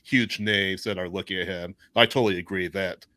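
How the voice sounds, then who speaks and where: A man hosting a casual live sports chat, agreeable and a little halting as he talks. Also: low-pitched, steady tone, sharp tone, no echo. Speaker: sports podcast host.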